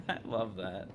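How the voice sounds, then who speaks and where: A man livestreaming a video game, chatting casually about his play. His voice is very low, and there is noticeable game noise behind him. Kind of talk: casual live gameplay commentary. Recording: noisy game audio behind voice.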